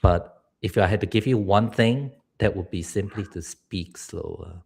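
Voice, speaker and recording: A man's voice: low voice, normal speed, creaky, a little mellow, breathy edge. A middle-aged man from Singapore, a public speaking coach giving earnest advice on a live stream. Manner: earnest, advice-giving, laughs now and then.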